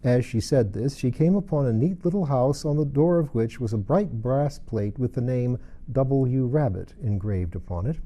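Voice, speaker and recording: A man's voice: mid-range voice, expressive, moderate pace, warm tone. A man narrating a storybook as an audiobook reader.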